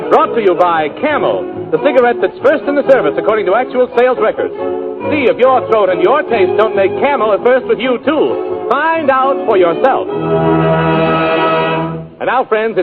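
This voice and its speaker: A man announcing a 1940s radio show in a smooth, emphatic way. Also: high-pitched. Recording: tinny radio broadcast with orchestra.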